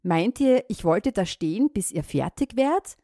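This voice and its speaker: A woman's voice: mid-range voice, big pitch swings, slow, mellow, no echo, clean studio sound. A woman doing a grumpy, scolding old farmer. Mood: ranting. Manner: scolding, indignant outbursts.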